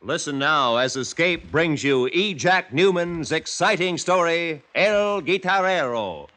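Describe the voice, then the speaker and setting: High-pitched dramatic male radio announcer. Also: animated, a little slow, bright, forceful tone. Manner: dramatic, declamatory. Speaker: series announcer. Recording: vintage radio broadcast over music.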